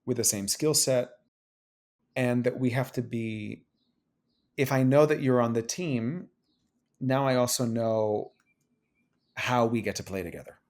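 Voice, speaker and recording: A man who is a guest on an interview show, talking casually, animated, restarting and repeating phrases. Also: mid-range voice, measured pace, long pauses. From Cuban-American. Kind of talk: casual conversation. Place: New York City.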